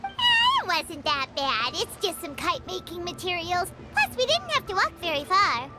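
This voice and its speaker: A tiny, bubbly fairy companion in a video game, her voice squeaking very high and bouncing all over the place. She chatters about herself in the third person, with loud game music playing underneath. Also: big pitch swings, normal speed. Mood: chirpy. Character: tiny chirpy female fairy-like travel companion.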